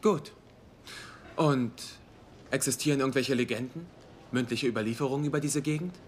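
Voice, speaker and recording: An actor plays a young man, a rebellious younger son, in dramatic dialogue. His mid-range voice is earnest and wounded. Clear outdoor background noise runs behind him. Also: mid-range voice, normal speed, close to an everyday voice. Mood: polite, inquiring. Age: young adult. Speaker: actor in an audio drama or dubbed series.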